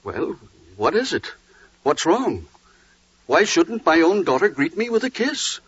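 A man's voice: fairly high pitch, big pitch swings, measured pace, a bit bright, husky, heavily acted. An actor playing a blustering, bewildered father of a young maiden in 1940s radio drama style. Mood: bewildered, hurt. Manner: agitated, exclamatory, bewildered. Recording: dull, muffled 1940s radio recording.

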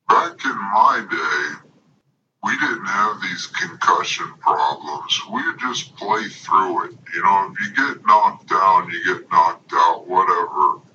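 A deep-voiced man serves as a podcast announcer and sidekick. He talks slowly, with a very forceful, sharp edge and an old-school grumbling manner. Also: muffled recording, slight background noise. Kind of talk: announcing and casual banter.

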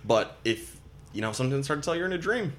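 A man in his twenties recapping a horror film in casual chat, acting out lines as he goes. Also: mid-range voice. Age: 20s. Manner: animated, fast recapping with acted-out quotes. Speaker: podcast co-host.

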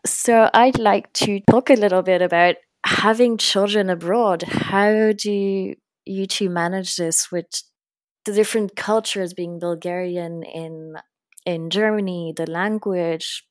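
A woman's voice: mid-range voice, fairly even intonation, slow pace, no room sound. A woman interviewing a guest in a casual conversation, asking questions and adding short remarks and laughter.

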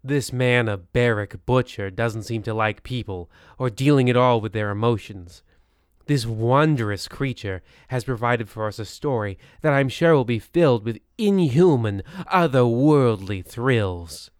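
A man playing a pompous, misanthropic host of a spooky anthology show, narrating in a formal, deliberate, theatrical way. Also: medium pitch, smooth, dry recording, clean studio sound, lightly acted. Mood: grandly ominous.

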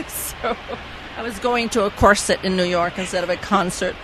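A woman's voice: mid-range voice, moderate pace, background noise. A woman from the Netherlands with a Dutch accent, a native Dutch speaker, telling stories from her flight attendant days and laughing often. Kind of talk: casual anecdote telling.

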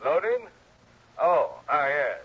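Muffled old radio, a flustered polite insurance agent, sharp raspy voice, stammers formally. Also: male voice, a little high, sing-song, very slow, heavily acted. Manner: hesitant, formal, fussy. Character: fussy, flustered, deferential male insurance agent. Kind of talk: 1950s-60s American radio drama acting. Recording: dull, telephone-like old radio recording.

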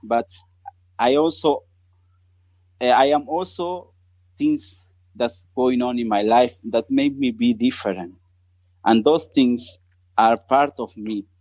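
Argentine missionary, halting, searching for words. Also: male voice, medium pitch, darkish tone, no room sound, rough recording. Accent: Argentine Spanish. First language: Spanish. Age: 30s. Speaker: missionary guest. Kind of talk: podcast interview.

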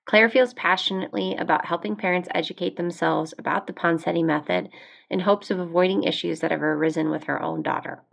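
A woman's voice: on the low side, fairly even intonation, brisk, vocal fry. Woman, American accent, podcast host, conversational interview delivery.

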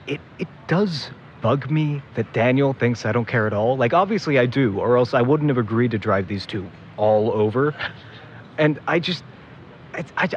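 A man's voice: mid-range voice, lightly acted. A young man playing a defensive, guilty big brother in an audio drama, hesitant and rambling in a naturalistic way. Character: grumbling, guilty big brother dragged into a search. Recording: muffled, clearly noisy background ambience.

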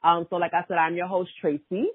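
Chatty woman podcast host, breathy, thin phone-like sound. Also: lowish voice, little pitch movement, brisk, no echo. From Ghana (family), raised in America. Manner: chatty, filler-heavy, laughs easily. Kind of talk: casual chat.

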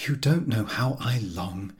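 A man voicing a frank, brisk Edwardian wife, fond yet weary of her husband, in audiobook dialogue. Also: fairly low pitch, expressive, breathy edge, exaggerated voice. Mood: wistful, frank.

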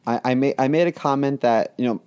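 A man's voice: mid-range voice, fast talker, darkish tone, smooth. Crude joking podcast co-host, man. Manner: crude joking, laughs often. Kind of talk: casual comedic chat.

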